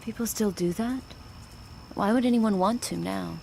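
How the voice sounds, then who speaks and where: A woman playing a subdued, watchful handmaid in an audio drama, narrating her thoughts in a measured, hushed, introspective way. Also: medium pitch, gravelly, a little hiss or hum, natural voice. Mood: guarded, pensive. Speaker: lead actor and first-person narrator. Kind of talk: audio drama, intimate literary narration and dialogue. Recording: music under the voice.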